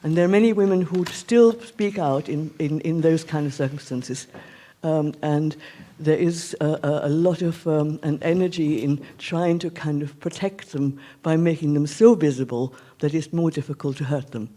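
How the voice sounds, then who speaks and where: A man giving a reflective, earnest speech at a community event, speaking haltingly in an indoor hall. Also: a little high, dark tone. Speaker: guest speaker.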